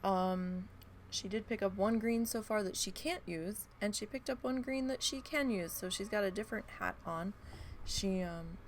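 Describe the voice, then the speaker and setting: Gravelly, stuffy-nosed woman streamer. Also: medium pitch, a little hiss or hum. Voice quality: nasal, stuffy from allergies. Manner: chatty, rambling, many ums. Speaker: game streamer. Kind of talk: casual live-stream chat.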